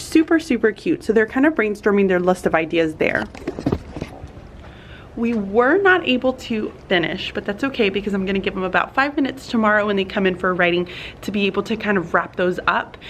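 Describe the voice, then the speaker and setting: A woman's voice: medium pitch, animated, normal speed, slight background noise. A young woman teacher, chatting upbeat through her school day in a classroom vlog, with plenty of fillers. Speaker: teacher vlogger.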